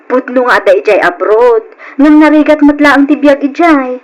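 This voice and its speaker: A woman's voice: high-pitched, moderate pace, lightly acted, low-quality recording. A woman playing a dutiful, considerate young provincial sister in an old Philippine radio drama. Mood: earnest protesting.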